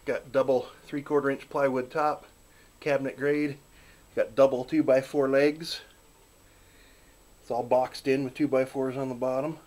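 A man's voice: mid-range voice, unhurried, long pauses. Folksy man giving a workshop tour. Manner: relaxed, folksy and chatty. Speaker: channel host filming a shop tour. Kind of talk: casual narrated shop tour. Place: small backyard wooden workshop.

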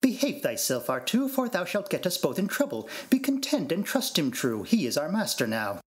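A man's voice: a little high, wildly swooping pitch, moderate pace. A man playing a fussy, anxious robot servant in formal, theatrical archaic phrasing, in an amateur Shakespearean reading. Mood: flustered, scolding.

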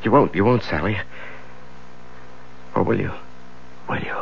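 A man's voice: on the low side, expressive, unhurried, gravelly. An actor playing an ailing man in terrible pain, fearful and pleading, in a 1970s radio drama. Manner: pleading, repetitive. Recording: noisy, muffled 1970s radio recording.

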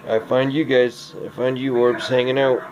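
A man filming in his dark house, talking softly and friendly to orbs he hopes are there, his voice a little hoarse. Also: mid-range voice, flat delivery, normal speed. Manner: talks softly to unseen orbs, friendly greetings. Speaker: vlogger filming orbs at home. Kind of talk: casual talk to the camera. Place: dark rooms of a house, indoors. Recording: dull lo-fi camera audio with noise.

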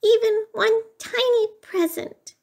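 A woman voicing a tiny, eager, wistful male mouse with a small squeaky voice in a children's storybook. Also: slow pace, a little mellow, full character voice. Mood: pleading. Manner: eager, pleading. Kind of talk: children's storybook character reading.

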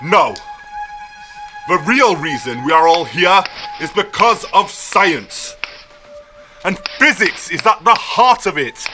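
An actor playing a stern science teacher in a radio play, lecturing in a declamatory way with deliberate pauses. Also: male voice, high voice, lively intonation, music in the background, low-quality recording. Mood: grandly lecturing.

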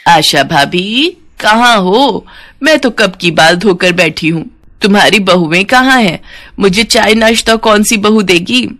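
A woman's voice: medium pitch, unhurried, a bit bright, natural voice. A woman voicing a quarrelsome, gossiping older aunt in a cartoon story, nosy and insinuating. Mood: demanding.

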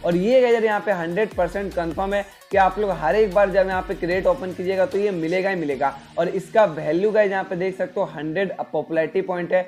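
Young guy doing a game events explainer, high voice, music going behind him. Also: fairly quick, few pauses, a little mellow. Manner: repetitive, filler-heavy address to viewers. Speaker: gaming video host. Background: background music.

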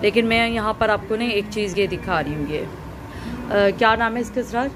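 A woman's voice: medium pitch, normal speed. Woman vlogger chatting away while showing stuff, noisy background. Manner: chatty, explaining as she shows things. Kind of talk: casual home vlog chat.

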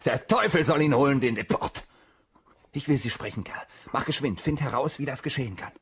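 An actor playing a lovesick, commanding young officer in a German radio play, impatient and pleading. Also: male voice, fairly quick, a bit bright. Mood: agitated. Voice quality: husky, breathy low voice.

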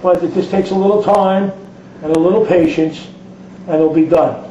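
A man's voice: high-pitched, fairly even intonation, normal speed, dark tone. A man giving a plain-spoken, step-by-step hands-on plumbing demonstration as an instructor. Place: indoors in a home kitchen. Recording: roomy echo, distant mic, dull lo-fi, noisy.

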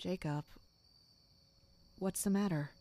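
A woman playing a concerned, patient servant and confidante in a fantasy audio drama, speaking gently and with measure. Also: fairly low pitch, slow. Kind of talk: fantasy audio drama acting with first-person narration.

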